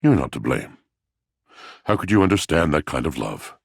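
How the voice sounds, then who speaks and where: A melodramatic, heartbroken object come to life in a video game. His voice is exceptionally low and full of gravel, recorded dry and close. He speaks in mournful, grandiose verse, as a theatrical brooding lover. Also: sing-song, moderate pace, no echo, heavily acted. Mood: sombre storytelling.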